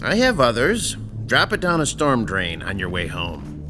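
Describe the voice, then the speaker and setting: An actor playing a calm, controlling male crime boss in an audio horror drama, polite and precise with quiet menace. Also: mid-range voice, music in the background. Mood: cool, commanding. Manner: polite, precise, coolly deliberate.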